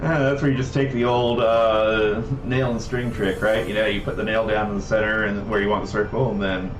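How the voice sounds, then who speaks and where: A man hosting a casual livestream, talking in a rambling, halting way. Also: on the low side, little pitch movement. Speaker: livestream host. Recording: roomy echo, distant mic, background music.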